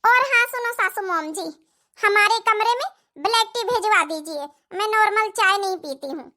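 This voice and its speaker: A woman voicing a squeaky, brash young bride. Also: normal speed, sharp tone. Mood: defiant. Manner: defiant, sassy, mixing in English words. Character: brash, haughty modern new daughter-in-law. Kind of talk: comedy cartoon dialogue.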